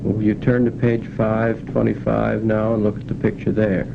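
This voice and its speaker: A male experimenter asks questions and gives instructions in a calm, directive way. The sound is dull and muffled, with a constant hiss from a 1940s film soundtrack. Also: lowish voice, normal speed, creaky. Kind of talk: giving instructions and prompts.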